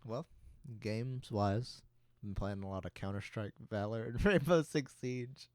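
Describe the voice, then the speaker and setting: Podcast co-host: young male, slightly nasal, lowish register, loose and rambling casual chat, recorded dry. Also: unhurried, darkish tone, no room sound. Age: young adult. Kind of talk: casual chat between friends.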